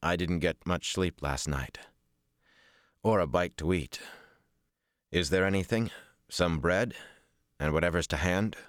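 Casting: male narrator as gruff, weary lord, low pitch, blunt audiobook dialogue, dry close sound. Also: a little slow, long pauses, no echo.

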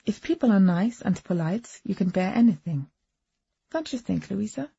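Lady doing a soft eastern european wife for an audiobook, proud n steely, husky voice. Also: mid-range voice, lively intonation, moderate pace, dry recording, muffled recording, full character voice. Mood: proud, composed.

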